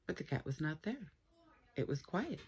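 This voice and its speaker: Low-voiced woman reading a children's story. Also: vocal fry, slightly rough. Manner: expressive, playful, with dramatic pauses. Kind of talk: read-aloud story time for children.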